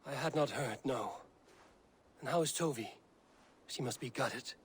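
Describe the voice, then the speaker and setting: A man playing a measured, authoritative Viking leader in a video game, speaking deliberately. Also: mid-range voice, breathy edge. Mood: calm, thoughtful. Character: firm, measured Viking leader settling disputes. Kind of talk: video game cutscene acting.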